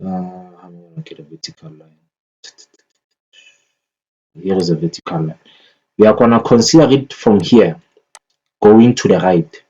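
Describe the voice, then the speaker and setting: A man with a fairly low, mellow voice talks through an analysis in a casual, unscripted way. He takes his time, hesitating and thinking aloud, on a slightly dull, lo-fi recording. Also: unhurried, pauses often, slightly rough. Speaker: market analyst presenting chart analysis. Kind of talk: casual unscripted screen-share commentary. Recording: dull lo-fi microphone sound.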